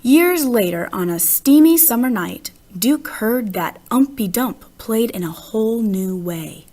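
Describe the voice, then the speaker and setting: A woman reading a children's picture book aloud as an expressive narrator, with deliberate phrasing and stressed words. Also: fairly high pitch.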